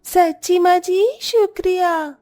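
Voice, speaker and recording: Woman doing a high, sweet Bengali bride. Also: fairly even intonation, takes it slowly, darkish tone, soft background music. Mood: eager, sweet.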